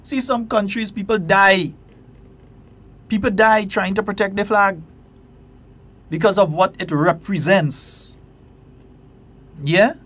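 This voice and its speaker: A man's voice: high voice, takes it slowly, long pauses, a bit bright. A Grenadian man hosting a call-in radio talk show, talking with passion and emphasis. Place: radio studio.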